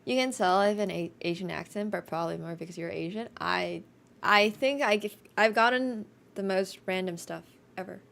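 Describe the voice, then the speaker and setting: A woman's voice: mid-range voice, gravelly, fairly bright tone. A young woman from Finland with a light American accent, Mandarin her first language, chatting casually with her stream viewers in a slightly nasal voice. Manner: chatty, quick asides, laughs often. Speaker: live streamer.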